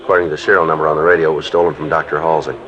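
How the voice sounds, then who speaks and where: An American actor playing a stoic, businesslike police sergeant in a 1950s radio drama, terse and matter-of-fact. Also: male voice, a bass voice, flat delivery, rapid-fire, a bit bright. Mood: dry, low-key. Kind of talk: 1950s radio acting, clipped procedural narration. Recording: muffled old radio recording with music.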